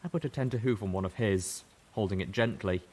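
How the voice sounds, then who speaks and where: A man reading a story aloud in first person, steady and measured. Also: fairly low pitch, breathy edge. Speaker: audiobook reader. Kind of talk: first-person narration of fan fiction.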